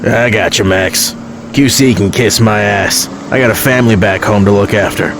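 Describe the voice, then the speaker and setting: A man's voice: fairly low pitch, normal speed, creaky. A man playing a worried family-man trucker, plain-spoken and full of CB slang, in an audio drama. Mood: worried, resolved. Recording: noisy CB radio in truck cab.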